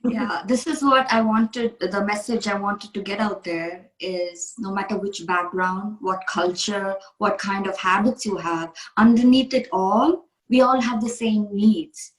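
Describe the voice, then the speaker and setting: A woman's voice: mid-range voice, unhurried, hardly any pauses, echoey room, poor audio. A woman from India hosting a casual interview, speaking earnestly and asking questions. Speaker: coach, interview host.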